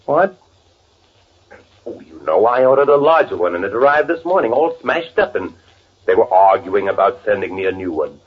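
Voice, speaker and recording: An actor playing a timid, fussy, evasive twin brother with a nervous stammer in an old-time radio drama. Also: male voice, on the low side, sing-song, moderate pace, breathy edge, narrow, tinny audio. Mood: nervous, explaining. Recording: band-limited old radio drama recording.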